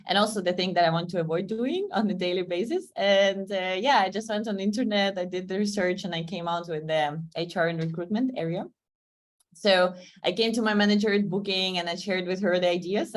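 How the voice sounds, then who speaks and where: A woman from Ukraine, a recruiter sharing her career path in a webinar, chatty and laughing often. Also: on the low side. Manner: friendly, laughs often, fillers. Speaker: guest recruiter. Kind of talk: webinar conversation.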